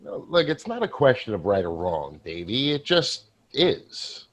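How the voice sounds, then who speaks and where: Stage ghost, male actor: wry, world-weary office worker, husky, very animated delivery. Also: medium pitch, full character voice. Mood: wry, sardonic. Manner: wry, halting, reflective. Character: apparition of dead male coworker, wry, weary, darkly joking. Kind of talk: staged play reading.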